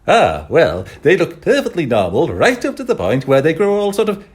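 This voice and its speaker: A man voicing a loud, methodical stranger in an audiobook who questions people as if working down a list. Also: a little high, wildly swooping pitch, moderate pace, husky, pitched above the performer's own voice, full character voice.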